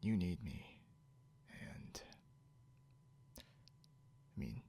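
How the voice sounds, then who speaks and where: A low, heavily fry-laden male voice moves slowly, drifting between murmur and whisper over a noticeable hum. It is a possessive creature keeping a human captive, played by a man for ASMR roleplay, condescending and teasing yet grudgingly caring. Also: sing-song, warm tone, exaggerated voice. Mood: smug, threatening. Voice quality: gravelly, often breaking into whisper. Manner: halting, muttering, condescending. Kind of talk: ASMR roleplay, intimate second-person acting. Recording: noticeable background hiss or hum.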